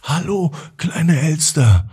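A man voicing a kind, caring young boy in a bedtime story, speaking gently and with concern. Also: on the high side, very animated, takes it slowly, breathy edge, pitched above the performer's own voice, heavily acted. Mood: gentle concern.

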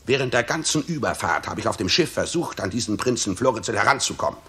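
A man's voice: lowish voice, lively intonation, quick pace, pushed and bright. An actor playing a grumbling, self-important roguish messenger in a 1960s German radio play, telling his tale in a boastful, indignant manner.

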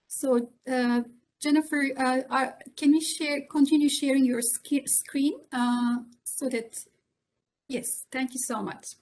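Higher-voiced woman. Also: fairly even intonation, slow pace, a little mellow, slight background noise. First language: Turkish. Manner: polite and formal, with frequent fillers. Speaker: presenter. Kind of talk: formal presentation on a video call. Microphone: video call, webcam in a home room.

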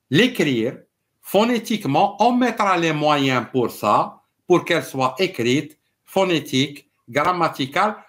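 A man with an Algerian accent and native Arabic holds forth in a live political discussion. His voice sits a little high and moves up and down a lot. He explains things emphatically and deliberately, mixing two languages. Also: fairly bright tone. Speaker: political commentator and live show host. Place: small indoor home room.

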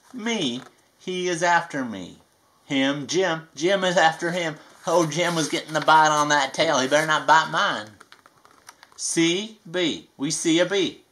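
A performer plays a playful storybook alligator with a bright, punchy voice and a folksy Southern US drawl. The reading is slow and emphatic, made for small children. There is some noise behind it. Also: mid-range voice. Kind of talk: children's picture book read-aloud.

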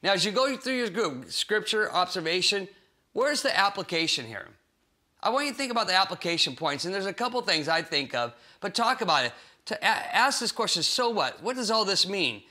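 Man, 40s, pastor teaching to camera; high, very bright voice, animated and playful. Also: fairly quick. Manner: animated, acts out voices in the story. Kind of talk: small-group Bible teaching. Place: cozy living room set with bookshelves and sofa.

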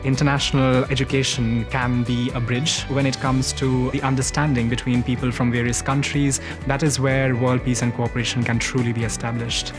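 A man's voice: medium pitch, little pitch movement, moderate pace, few pauses. A young man, a student, speaking in a composed and articulate way in an indoor interview. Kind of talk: interview answer. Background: music.

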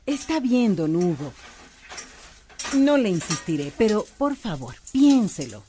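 An actress as a mellow, animated policewoman. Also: medium pitch, measured pace, a little hiss or hum. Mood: earnest, persuasive. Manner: courteous, earnest. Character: patient, persuasive female police corporal. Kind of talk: radio soap acting.

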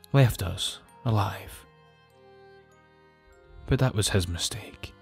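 A man's voice: low voice, darkish tone, husky, full character voice. A man playing a grave, injured nobleman in an audio drama, speaking formally and solemnly.

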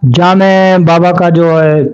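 A man's voice: high voice, little pitch movement, slow pace, a little mellow. A man in his twenties with a Mewati accent, interviewing villagers in a conversational way. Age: 20s. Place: small bare-walled indoor room. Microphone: small handheld mic passed between speakers. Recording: muffled, echoey small room.

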